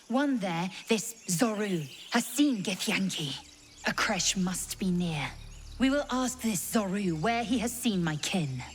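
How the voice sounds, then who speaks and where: A woman's voice: medium pitch, expressive, slow pace, background noise. A woman playing a haughty, commanding githyanki warrior in a video game, speaking in stern, clipped, formal phrases. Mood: scornful, commanding.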